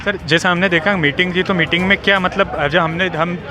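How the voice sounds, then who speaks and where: A male reporter asking polite, slow probing questions in a street interview. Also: high-pitched, fairly even intonation, a crowd in the background.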